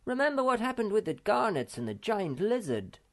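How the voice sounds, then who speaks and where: Storybook part: sensible male wanderer done by a woman, raised pitch, firm, cautioning delivery. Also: big pitch swings, fairly quick. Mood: warning.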